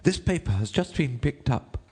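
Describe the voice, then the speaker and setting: Guy doing a nervous polite rabbit from a storybook, voice way up high. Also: lively intonation, moderate pace, warm tone, breathy edge, long reverb tail. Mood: flustered, respectful. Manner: respectful, anxious.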